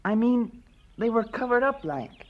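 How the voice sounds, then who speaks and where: A woman's voice: fairly high pitch, lively intonation, measured pace, dull audio. A woman playing an earnest, argumentative and observant country schoolboy in a 1970s radio drama, speaking insistently. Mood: curious.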